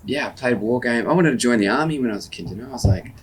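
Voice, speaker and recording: A man talks in a laid-back, chatty way, cursing freely as he chats. His voice sits at a middling pitch, with a faint hiss of outdoor noise behind him. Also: animated, racing. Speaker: guest performer. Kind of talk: casual podcast chat. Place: outdoors on a riverboat.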